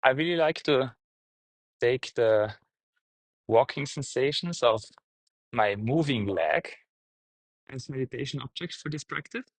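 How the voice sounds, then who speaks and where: A man guiding a meditation, speaking gently in short, halting phrases with pauses. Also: mid-range voice, animated, dry recording, low-quality recording. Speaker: meditation teacher, guide. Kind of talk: guided meditation, unscripted instruction.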